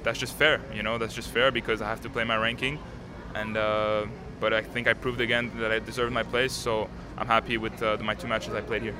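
An eighteen-year-old man from Canada, a native French speaker, answering interview questions outdoors in a calm, composed way with many fillers. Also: on the low side, fairly quick, hardly any pauses. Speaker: tennis player, interviewee. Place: outdoors at a tennis stadium. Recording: crowd noise behind.

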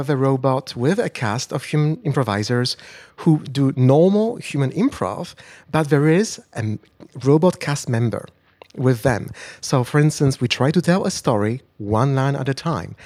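A man who co-runs an improv show, explaining it haltingly with many fillers. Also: fairly high pitch, a little mellow, no room sound. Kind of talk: interview answers.

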